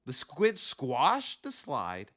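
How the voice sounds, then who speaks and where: A man narrating a children's picture book in an expressive, emphatic storytelling style. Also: medium pitch, drawn-out words. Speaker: storybook reader, coach.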